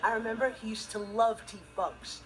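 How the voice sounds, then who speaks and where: A man's voice: sits high, steady tone, a little fast, lightly acted, low-quality recording. A young male actor playing an earnest, responsible, easily exasperated teenage stepbrother, telling stories with emphatic comic timing in a TV sitcom. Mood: earnest storytelling. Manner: emphatic, theatrical comic delivery. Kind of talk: scripted comic monologue in a TV sitcom. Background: music and laughter.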